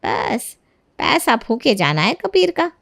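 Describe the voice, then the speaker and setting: A woman's voice: on the high side, very animated, slow pace, creaky, a bit bright, voice pushed up, full character voice. Woman putting on a jealous, sarcastic griping aunt. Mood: scornful. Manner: sarcastic, complaining. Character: jealous, sarcastic middle-aged aunt-in-law, grumbling and petty. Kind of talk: acted Hindi storybook narration.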